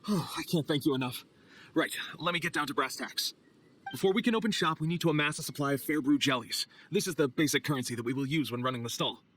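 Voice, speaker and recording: A man's voice: a little high, fast talker, a bit bright. A man playing an earnest, overly polite knight's logistics clerk in a video game, formal and a little nervous. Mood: polite, explanatory.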